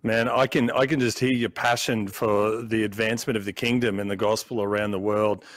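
A man hosting a conversational church interview, feeling his way through questions in a halting manner. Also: on the low side, fairly even intonation, fairly bright tone, no echo. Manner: halting, searching for words.

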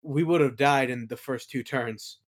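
A man's voice: medium pitch, normal speed. Casual, rambling man as podcast guest. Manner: rambling, colloquial, acts out quoted remarks. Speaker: guest, game master and audio play creator. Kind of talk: casual chat, anecdotes.

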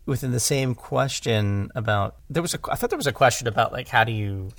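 Man thinking aloud, creaky voice. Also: mid-range voice, lively intonation, a little fast, dry recording. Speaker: audio drama director, interview guest. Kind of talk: casual podcast discussion.

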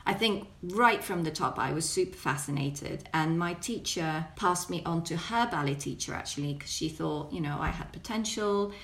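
A young woman from Belgium talks from dance studios in a deep voice for a woman. Her voice has a gravelly fry, and she reflects chattily on her past with many fillers. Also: moderate pace, few pauses, fairly bright tone. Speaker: ballet dancer and choreographer. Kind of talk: conversational interview answers.

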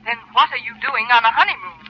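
A woman's voice: a little high, fast talker, husky. An actress playing a gushing, teasing young woman friend on the telephone, in 1930s radio acting. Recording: dull old radio broadcast with hiss.

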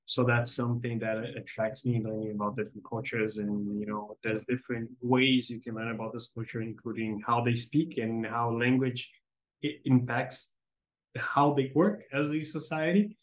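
A man from Brazil, a native Portuguese speaker, talking in fluent English as a podcast guest, quick and relaxed with a self-deprecating humor. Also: mid-range voice, rough recording. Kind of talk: casual interview conversation.

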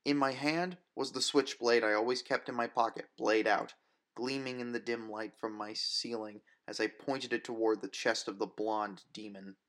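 A man's voice: mid-range voice, fairly even intonation, moderate pace, a bit bright. A man reading first-person fiction as a dramatic, scripted audiobook narration.

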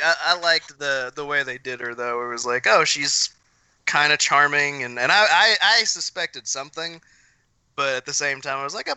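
A man's voice: fairly high pitch, sharp tone, clean tone, no echo. A man in casual conversation, telling things by voicing his own reactions as quotes. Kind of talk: casual chat.

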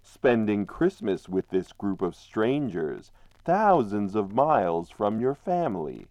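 An actor playing a grave narrator who needles the protagonist directly in a true-crime radio drama. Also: male voice, lowish voice, big pitch swings, slow pace, a little mellow, no echo, full character voice. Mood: probing, taunting.

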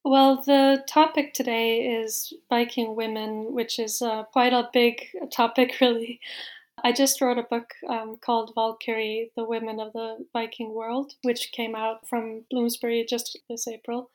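A woman's voice: a little high, flat delivery, measured pace. A woman explaining her field as an interview guest, in an informal, conversational way with hedging words. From Iceland. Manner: informal, hedging with "like" and "you know". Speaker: interview guest, Viking scholar and author.

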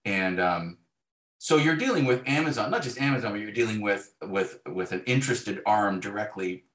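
A man with a low voice talks casually as a guest in an interview. His phrasing is halting and full of hedges, and the recording sounds quite roomy and echoey. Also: animated, a bit bright. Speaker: guest, novelist.

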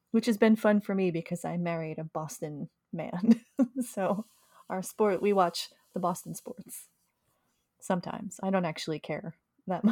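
A woman from New York co-hosting a podcast, chatty and keen on bug facts, her voice slightly gravelly and tired. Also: fairly low pitch, darkish tone, no echo, studio quality. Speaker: podcast co-host. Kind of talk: casual chat.

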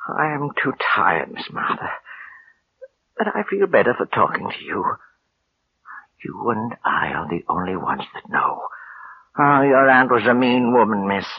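Husky, sickly old gardener. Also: male voice, on the low side, big pitch swings, full character voice. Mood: weary, bitter. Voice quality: husky, weak, breaking into whispers. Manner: halting, folksy, plain rustic grammar. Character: sickly, brooding old gardener, resentful and knowing. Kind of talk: 1940s-50s American radio suspense acting. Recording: muffled old radio recording.

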